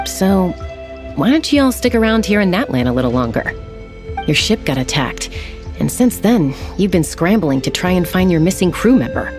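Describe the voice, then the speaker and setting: A woman voicing a gentle, hospitable young tribeswoman in a video game. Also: fairly low pitch, very animated, moderate pace. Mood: calm. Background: game soundtrack music.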